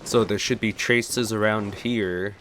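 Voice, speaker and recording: A man's voice: on the low side, steady tone, takes it slowly, a bit bright, a little hiss or hum. A young man giving casual, easygoing let's play commentary with a playful, sarcastic touch.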